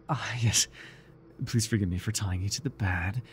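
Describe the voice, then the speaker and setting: A man playing a devoted, obsessive, fawning cult follower in a theatrical, halting ASMR roleplay. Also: fairly low pitch, a little hiss or hum. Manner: theatrical, breathy sighs, nervous chuckles, halting. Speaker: voice actor.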